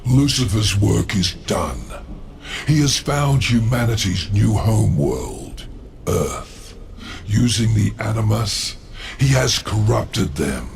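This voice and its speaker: An actor playing a sly, scheming demon lord in a video game, with a smug, deliberate, theatrical delivery. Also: male voice, lowish voice, lively intonation, vocal fry, a little hiss or hum, full character voice. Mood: solemn, knowing.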